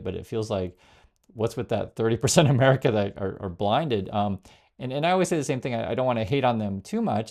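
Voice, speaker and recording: A man, born in Vietnam, talks straight to his viewers in a loose, rambling way, full of fillers and restarts. His voice sits a little low and has a gravelly, fry-tinged edge. Now and then he slows down to read viewer comments aloud. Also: darkish tone. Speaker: political commentary host. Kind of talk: casual direct-to-camera commentary.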